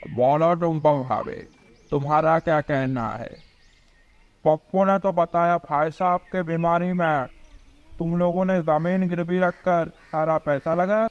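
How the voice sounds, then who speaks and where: A man voicing a calm, evasive, corrupt village headman in a cartoon story. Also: high-pitched, clean tone. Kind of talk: cartoon moral story dialogue. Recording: dull lo-fi audio with music behind.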